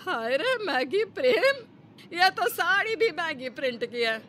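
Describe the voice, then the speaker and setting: A woman's voice: extremely high pitch, big pitch swings, a little slow, bright, forceful tone, slight background noise, voice pushed up, heavily acted. A woman voicing a suspicious, sarcastic middle-aged mother-in-law in a cartoon story, dramatic and grumbling. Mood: disdainful.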